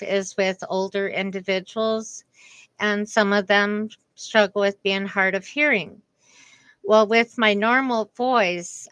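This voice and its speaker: Slow, bright-voiced earnest woman. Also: mid-range voice, no room sound. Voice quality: voice strained after a vocal cord paralysis. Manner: earnest, deliberate, with careful pauses. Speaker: speaker giving a message on communication. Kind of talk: slow reflective personal talk.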